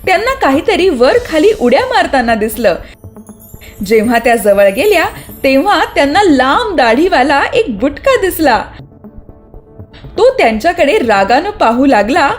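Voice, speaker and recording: A woman narrating a scripted children's story as an expressive storyteller. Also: high voice, normal speed, soft background music.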